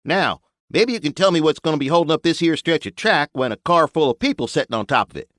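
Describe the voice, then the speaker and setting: A man's voice: a little high, lively intonation, fast talker, a bit bright, no echo, pitched above the performer's own voice. A man voicing a blunt, bearded male mechanic with a folksy rural drawl.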